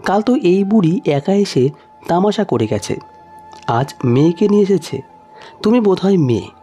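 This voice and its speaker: Storybook role: rude, scornful saleswoman voiced by a man, slightly high, music underneath. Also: big pitch swings, normal speed, dark tone, breathy edge, full character voice. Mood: annoyed scorn. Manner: scornful, dismissive.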